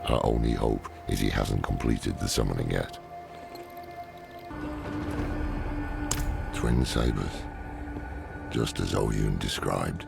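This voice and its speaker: An actor playing a weary, wry old scholar-warrior in a video game, with dry, grim, measured delivery and a gravelly voice. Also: male voice, exaggerated voice. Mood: grave musing. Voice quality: deep gravelly voice. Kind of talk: dramatic video game acting. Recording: music behind the voice.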